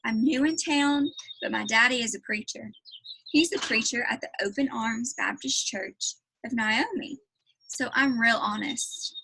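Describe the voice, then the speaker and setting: A woman's voice: a little high, unhurried, creaky, pushed and bright, breathy edge, full character voice, low-quality recording. A woman voicing an earnest, polite young preacher's daughter in a storybook, pleading eagerly with folksy phrasing.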